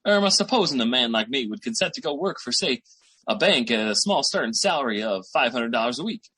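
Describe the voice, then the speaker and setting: An actor playing a boastful, slangy small-time schemer boyfriend in 1940s radio sitcom style, with a raspy voice. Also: male voice, mid-range voice, expressive, fairly quick, sharp tone. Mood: grandiose scheming. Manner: slangy, bragging, theatrical hustler patter.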